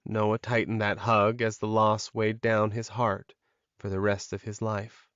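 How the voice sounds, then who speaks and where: A man's voice: fairly low pitch, level tone, measured pace, fairly bright tone, clear, resonant tone, no echo, lo-fi sound. A man narrating a scripted, dramatic fantasy romance audiobook.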